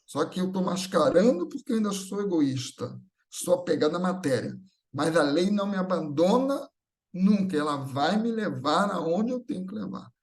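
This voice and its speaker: A man's voice: high voice, animated, moderate pace. A man with a Brazilian Portuguese accent giving a semi-improvised spiritual talk, teaching with repeated phrases and short tag questions. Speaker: speaker at a Spiritist gathering.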